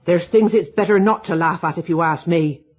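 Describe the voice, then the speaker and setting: An actor playing a superstitious older working-class English housekeeper, homely and deferential, in a radio drama. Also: male voice, fairly high pitch, moderate pace, natural voice. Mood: ominous. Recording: dull lo-fi old radio recording.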